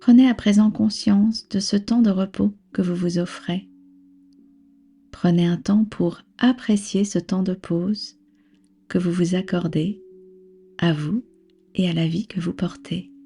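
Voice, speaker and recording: A woman with a soft, breathy voice leading a guided relaxation as a yoga nidra instructor, soothing, unhurried and gently instructing. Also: on the low side, steady tone. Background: background music.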